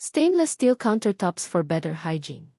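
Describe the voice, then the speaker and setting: A woman's voice: on the low side, very animated, measured pace, creaky, mellow, dry recording, exaggerated voice. A cold, powerful Filipino businessman voiced by a woman narrator in an audio romance. He speaks formally and with clipped professional firmness, never yielding. The recording is dry. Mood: firm, critical. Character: cold, businesslike, powerful male executive, unyielding.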